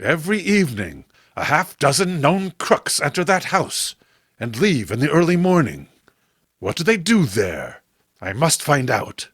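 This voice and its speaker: A man's voice: fairly high pitch, sing-song, measured pace, husky, no echo, clean studio sound, heavily acted. Man playing a resolute police lieutenant, earnest. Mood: determined. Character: dutiful, determined male police lieutenant. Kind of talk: horror comic voice acting.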